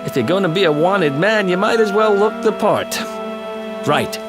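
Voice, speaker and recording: An actor playing a cocky, loyal young thief in a video game, with a breezy, wry, streetwise manner. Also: male voice, sits high, animated, normal speed. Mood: upbeat, assured. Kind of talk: video game cutscene acting. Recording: loud game music behind the voice. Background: loud music.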